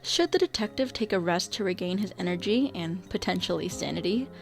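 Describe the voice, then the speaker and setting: Female narrator, creaky mid-pitched voice, scripted radio drama storytelling, faint music behind. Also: lively intonation, fairly quick.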